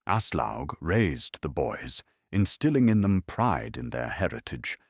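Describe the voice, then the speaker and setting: A man with a very low, husky voice narrates a calm bedtime documentary. His delivery is measured and solemn, with wide rises and falls in pitch that shape each phrase like a storyteller. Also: dry recording, studio quality.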